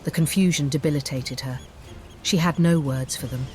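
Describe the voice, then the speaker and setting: A woman storyteller reading scripted fantasy narration in a measured, theatrical way. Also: deep voice, a little mellow, slight background noise. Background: music bed.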